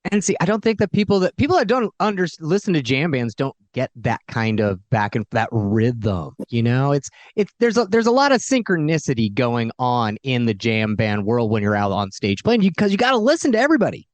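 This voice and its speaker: A woman's voice: a bass voice, big pitch swings, brisk, few pauses, dry recording. An American woman co-hosting a casual interview, chatty and enthusiastic. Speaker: interviewer, co-host.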